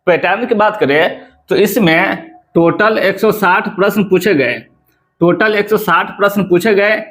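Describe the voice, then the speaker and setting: High-pitched Indian man explaining. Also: a little slow, a bit bright. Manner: repeats phrases for emphasis. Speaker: presenter of exam news videos. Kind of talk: informational explainer to camera or voice-over, addressing viewers.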